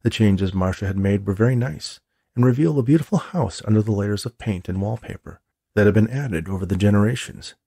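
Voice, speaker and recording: A man's voice: on the low side, fairly quick, gravelly, mellow, husky, no room sound, studio quality. A man who is an author, narrating a chapter of his own story from the page. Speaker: author reading own book.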